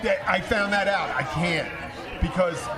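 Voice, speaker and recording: A man with a high voice hosts a casual, bantering podcast. He talks haltingly, circling back over his own words, while a loud crowd chatters all around him. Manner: halting, repeats himself. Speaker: podcast host. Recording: crowded, noisy public room chatter.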